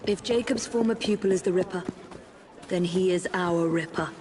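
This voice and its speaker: An actress playing a determined Victorian woman detective in a video game, thinking aloud in a measured, purposeful way. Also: fairly low pitch, noisy background, lightly acted.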